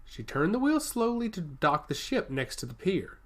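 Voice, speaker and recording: A male storyteller narrates a scripted fantasy tale. His voice is somewhat high for a man, and his pitch moves in a very lively way. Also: moderate pace.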